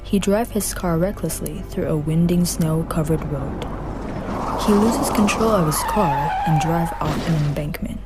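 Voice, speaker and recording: A woman's voice: low-pitched, unhurried, loud music behind the voice. A woman narrating a student radio drama in a careful, plain reading with slight grammar slips.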